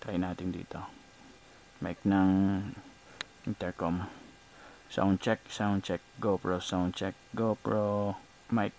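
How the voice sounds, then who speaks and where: A Filipino man talks casually as a gear vlogger. His pace is slow and unhurried, with English words mixed in. There is some noise behind him, like vehicle ambience. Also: low-pitched, flat delivery, darkish tone. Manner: informal, chatty, mixes in English words. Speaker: vlogger reviewing motorcycle gear. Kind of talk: casual product demo vlog.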